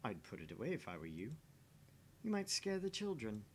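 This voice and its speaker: A man's voice: on the low side, wildly swooping pitch, moderate pace, a little hiss or hum. A man playing a smug, condescending man who taunts and teases, reading visual novel dialogue. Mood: smug, teasing. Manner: mocking, taunting. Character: smug, teasing man, mock-helpful and condescending.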